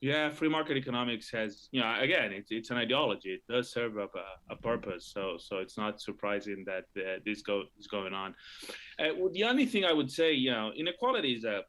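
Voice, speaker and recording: A middle-aged man from Argentina, a professor guest on a live talk show, speaking haltingly with many restarts and fillers. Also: mid-range voice, animated, a bit bright.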